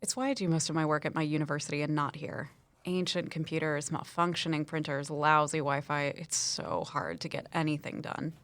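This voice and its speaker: A woman's voice: deep voice, fairly even intonation, a little fast, a bit bright, no echo. An actress playing a breezy, friendly woman office colleague in a scripted audio drama, casual and playfully joking.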